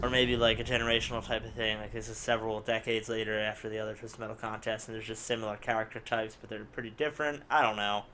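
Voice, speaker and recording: A man who is sick with a raspy voice, playing a car combat game and rambling through casual commentary with lots of fillers. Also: lowish voice, quick pace, few pauses, a little hiss or hum. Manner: rambling, excitable, many fillers. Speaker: let's play gamer. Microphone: desk microphone at home.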